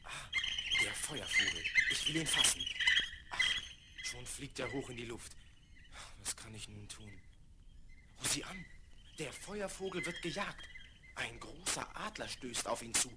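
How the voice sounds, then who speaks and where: An actor playing a brave, earnest young prince in a children's fairy-tale audio play, with declamatory delivery. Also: male voice, medium pitch, lively intonation, unhurried, long pauses, lightly acted. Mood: excited. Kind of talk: 1970s German children's audio play acting. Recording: very noisy vinyl record with clicks.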